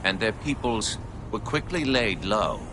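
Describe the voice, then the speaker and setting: A man's voice: fairly low pitch, animated, noisy background. An actor voicing a solemn alien male warrior-elder who recounts his people's history in a video game. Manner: solemn, measured storytelling. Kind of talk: video game cutscene narration.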